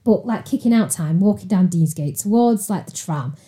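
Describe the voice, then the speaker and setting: A woman's voice: mid-range voice, lively intonation, normal speed, dark tone. Chatty young Mancunian woman. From Manchester, England. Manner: chatty, rambling, filler-heavy. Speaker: podcast guest. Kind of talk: casual chat.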